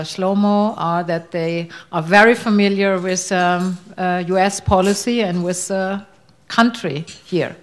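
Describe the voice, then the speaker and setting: Woman, panel co-moderator, introducing guest, halting, fillers, middle pitch. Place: university lecture hall.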